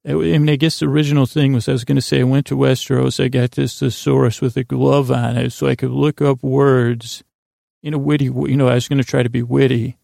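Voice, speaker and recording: A man hosting a podcast, rambling in a halting, self-deprecating, whimsical monologue that keeps wandering off topic, with a gravelly, creaky voice. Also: mid-range voice, level tone, warm tone, no room sound, studio quality. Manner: meandering, halting, goes off topic mid-sentence. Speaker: podcast host.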